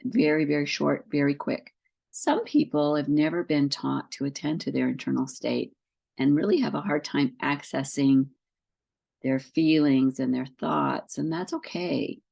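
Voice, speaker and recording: A woman coaching her listeners through slow breathing exercises, her voice full of deep vocal fry and a little stuffy from a head cold. She talks in a calm, patient way, walking through each step with the odd "um" along the way. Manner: calm, patient, explains step by step with frequent ums. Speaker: somatic tracking coach. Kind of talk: instructional talk to camera.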